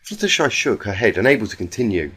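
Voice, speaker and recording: A man's voice: on the low side, big pitch swings, fast talker, fairly bright tone, husky. A man reading a fan fiction story aloud as dramatic narration, with an emotive, emphatic delivery.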